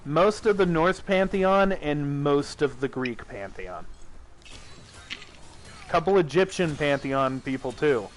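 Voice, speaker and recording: A man's voice: fairly high pitch, lively intonation, slow pace, slight background noise, rough recording. A man hosting a casual nerdy podcast chat, rambling, swearing and laughing often. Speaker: streamer and podcast host.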